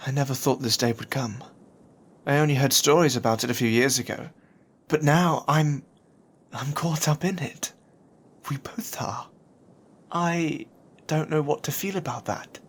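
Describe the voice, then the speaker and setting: A man's voice: a little high, unhurried, breathy edge. A man acting an anxious, impoverished young man who cannot walk, in an audio drama. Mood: troubled, resigned. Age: young adult. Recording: music over the dialogue.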